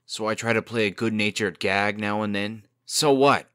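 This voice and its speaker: A man's voice: fairly low pitch, steady tone, moderate pace, sharp tone, clean tone, no room sound, clean studio sound. A man playing a cocky, glib and defensive young fairy-tale trickster in a comic dub drama. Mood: cocky, indignant.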